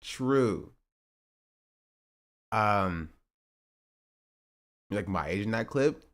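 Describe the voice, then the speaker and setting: A man's voice: lowish voice, animated, extremely slow. A man in his twenties streaming, rambling through casual political commentary with sarcastic asides and self-corrections. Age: 20s.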